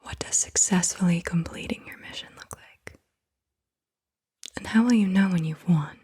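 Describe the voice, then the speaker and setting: A woman hosting ASMR, speaking softly and deliberately in a breathy whisper. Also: on the low side. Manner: soft-spoken, deliberate questioning. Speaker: ASMR host.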